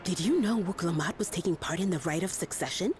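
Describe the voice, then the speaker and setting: A woman's voice: lowish voice, lively intonation, quick pace, music in the background, natural voice. An actress playing a blunt, skeptical townswoman gossiping matter-of-factly in a video game. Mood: doubtful. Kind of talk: scripted video game dialogue.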